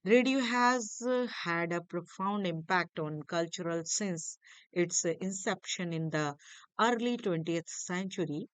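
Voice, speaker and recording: A woman with a clear, smooth voice reads out a lesson at a very unhurried pace. Her pitch sits a little low. She delivers it like a slide lecture, haltingly, tripping over the odd phrase. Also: dry recording. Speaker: lecturer presenting a history lesson. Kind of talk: slide-by-slide educational lecture, read from notes.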